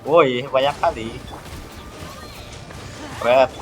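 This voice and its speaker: A young man with an Indonesian accent doing casual let's play commentary, informal and quick to exclaim. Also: medium pitch, faint music behind. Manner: informal, reacting aloud with short exclamations. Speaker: gaming video host.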